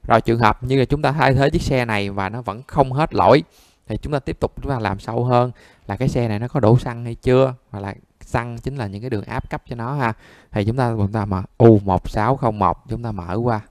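A man's voice: medium pitch, fairly quick, darkish tone, no room sound, studio quality. A man giving a conversational step-by-step repair tutorial, often checking that listeners follow. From Vietnam. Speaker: phone repair instructor.

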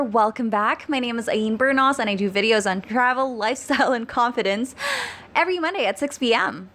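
A woman's voice: on the high side, a bit bright. A young woman whose first language is Tagalog, chatting upbeat to camera into a handheld mic, laughing between phrases as she shares English-speaking tips. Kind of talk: casual vlog talk to camera. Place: small indoor room, plain wall. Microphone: handheld mic held close.

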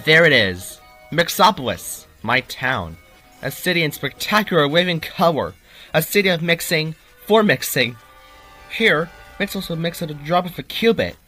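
A man voicing a nostalgic, excitable young cartoon creature who narrates his town in a theatrical way for a fan dub. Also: fairly high pitch, normal speed, faint music behind, full character voice. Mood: nostalgic, proud.

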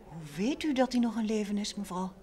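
An actress playing a detective's polite, curious wife in an old Dutch radio play. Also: female voice, medium pitch, brisk, dark tone, natural voice. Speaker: radio drama actor. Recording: clearly noisy vintage radio recording.

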